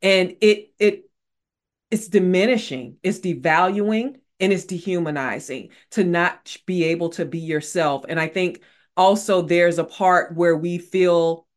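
A woman's voice: lowish voice, a little slow. A woman author speaking as a guest in a casual interview, earnest and repeating phrases for emphasis.

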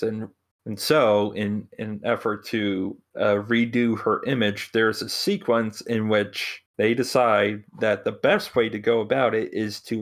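A man hosting a podcast in a casual film-review chat, blunt and given to pauses. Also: on the low side, a bit bright. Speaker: podcast host.

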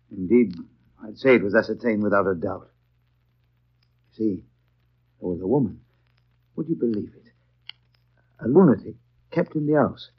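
An actor playing a garrulous rustic English local, telling grim news in a halting, folksy way in 1930s radio drama style. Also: male voice, lowish voice, slightly rough. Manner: folksy, halting storytelling with repeated phrases. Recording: dull, lo-fi 1930s radio broadcast.

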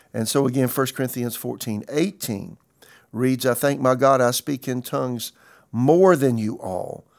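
A man's voice: mid-range voice, vocal fry. A man in his sixties, a pastor, teaching a sermon in an earnest, conversational way and quoting scripture. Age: 60s.

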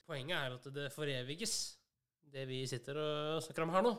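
Smooth-voiced man co-hosting a casual podcast. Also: a little high, fairly bright tone. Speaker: podcast co-host.

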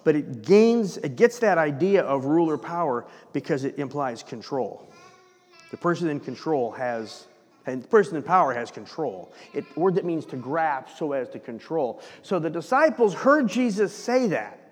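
Pastor guy preaching, kinda high voice, lots of back-and-forth questions, long church echo. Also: normal speed. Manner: animated preaching with rhetorical questions. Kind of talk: sermon. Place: church sanctuary.

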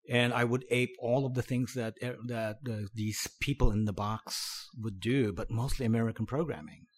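A middle-aged man speaks as a podcast guest, his voice in the middle range for a man. He tells his story in a relaxed, halting way, pausing on fillers and restarting his sentences. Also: mid-range voice, husky. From Philippines. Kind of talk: conversational storytelling.

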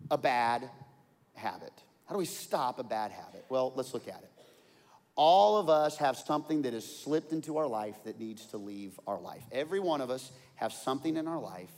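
A pastor in his forties preaching a sermon, telling stories and swinging from quiet asides to loud outbursts. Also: a little high. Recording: long hall reverb.

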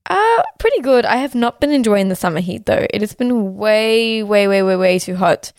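A young woman hosting a podcast in casual chat. She has a sore throat, so her voice is slightly hoarse, and she talks fluently with many "like" and "uh" fillers. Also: mid-range voice, lively intonation, no room sound, clean studio sound. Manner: chatty, fast-flowing, with "like" and "uh" fillers. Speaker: podcast host.

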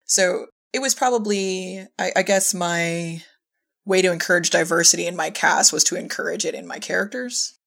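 Woman, lowish pitch, creaky fry, casual conversational interview delivery, audio drama director. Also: normal speed, fairly bright tone.

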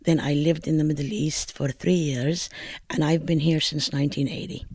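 A woman's voice: low-pitched, fairly even intonation, moderate pace, darkish tone, husky, no echo, studio quality. An older woman from Palestine with a northern Palestinian accent, chatting in an oral history interview as a home cook, telling stories in a digressive, talkative way.

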